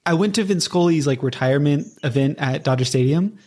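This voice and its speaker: A man's voice: fairly high pitch, brisk, darkish tone. A man with an American accent, interviewing casually in an easygoing, informal way with loose, hesitant phrasing. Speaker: podcast coach, interviewer.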